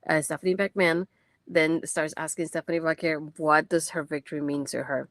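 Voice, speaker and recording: A woman's voice: sits low, little pitch movement, normal speed, fairly bright tone, no room sound, clean studio sound. A young woman, not a native English speaker, hosting a casual post-show recap in a chatty, enthusiastic way with many fillers. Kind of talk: casual wrestling event recap.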